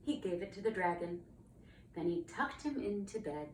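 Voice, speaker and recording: A woman's voice: mid-range voice, lively intonation, moderate pace. A woman narrating a children's storybook with dramatic, emphatic storytelling. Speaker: storybook reader, cantor. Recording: roomy, distant mic, faint noise.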